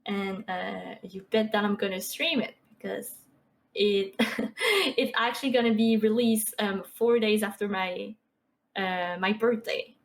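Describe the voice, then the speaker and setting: A woman in her twenties with a Québécois French accent, a guest on a podcast, chatting casually with many fillers and soft laughs. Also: mid-range voice, fairly even intonation, unhurried, creaky. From Quebec. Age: 20s. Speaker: podcast guest, streamer and artist. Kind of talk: casual interview chat.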